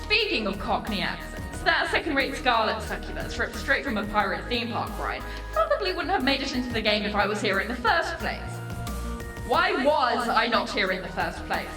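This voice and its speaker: An actress playing a vain, scornful succubus demon in a video game, with theatrical, haughty, sarcastic delivery. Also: female voice, fairly high pitch, very animated, normal speed, roomy sound, recorded from a distance, over music. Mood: scornful, mocking. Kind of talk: video game character dialogue.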